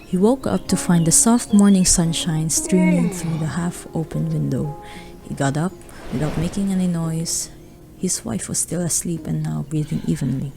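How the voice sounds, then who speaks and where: A woman's voice: low-pitched, normal speed, creaky, music in the background. A woman narrating a radio drama, reading a scripted story.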